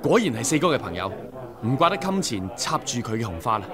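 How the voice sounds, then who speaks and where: A man's voice: medium pitch, big pitch swings, fast talker, crowd noise, close to an everyday voice. A man with a Hong Kong Cantonese accent playing a respectful young member of a rebel society in a radio drama. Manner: respectful, eager, formal greetings. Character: courteous young male sworn brother of a rebel society. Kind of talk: 1990s radio drama acting.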